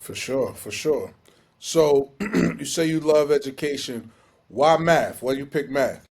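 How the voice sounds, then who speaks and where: A man's voice: medium pitch, slow, darkish tone. Upbeat male podcast host. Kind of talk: casual podcast hosting.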